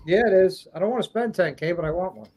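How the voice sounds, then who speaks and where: Wisecracking guy, husky and a bit high-pitched. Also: quick pace, a little mellow. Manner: joking, wisecracking. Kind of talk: casual chat.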